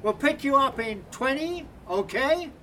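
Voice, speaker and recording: An actress playing a boisterous young student in a radio drama, calling out loudly to a friend. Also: female voice, high-pitched, lively intonation, unhurried, slight background noise, exaggerated voice. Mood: teasing, boisterous. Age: young adult.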